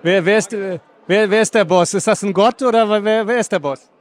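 A middle-aged man with a high voice speaks quickly as an interviewer. He is chatty and effusive, talking into a handheld mic in a cinema foyer. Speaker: interviewer and host. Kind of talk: red-carpet film interview. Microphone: handheld interview microphone.

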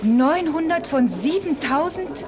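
A woman's voice: high-pitched, expressive, slow, music in the background. An actress playing an earnest, determined young woman in a radio play. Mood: earnest, resolute. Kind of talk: German radio play acting.

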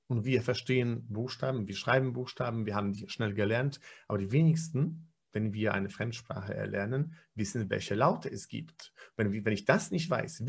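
A man's voice: on the low side, gravelly, breathy edge. A man in his forties giving an online pronunciation lecture over a webcam, explaining with frequent fillers and self-corrections. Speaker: pronunciation trainer. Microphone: webcam video call.

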